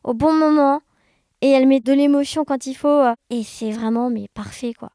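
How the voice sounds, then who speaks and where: A woman's voice: high-pitched, fairly even intonation, moderate pace, dark tone, no room sound, clean studio sound. A woman chatting casually and expressively as an enthusiastic podcast guest. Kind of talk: casual conversation.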